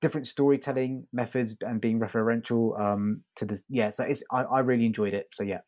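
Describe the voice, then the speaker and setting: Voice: mid-range voice, fairly even intonation, a little fast, a little mellow. A podcast co-host chatting casually about a show, agreeable, with hesitant, loosely phrased sentences. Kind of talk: casual review chat.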